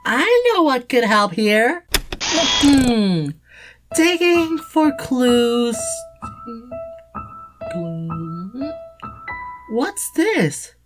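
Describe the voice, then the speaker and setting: A woman voicing a goofy, eager young male alligator secret agent in a cartoon fandub, with a drawn-out singsong delivery. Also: high-pitched, heavily acted. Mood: curious.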